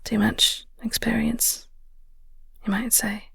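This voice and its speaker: A woman playing a flirty, tender vampire in an intimate audio roleplay, breathy and half-whispered, with a halting, teasing delivery. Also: on the high side, flat delivery, fairly bright tone, no room sound, clean studio sound, full character voice. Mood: wistful, reflective. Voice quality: breathy, husky. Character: flirty, gentle vampire nemesis comforting a heartbroken human.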